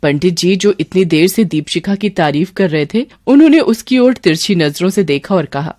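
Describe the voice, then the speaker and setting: A woman's voice: lowish voice, expressive, normal speed, a little mellow, no room sound, studio quality. A woman narrator reading a scripted storybook tale.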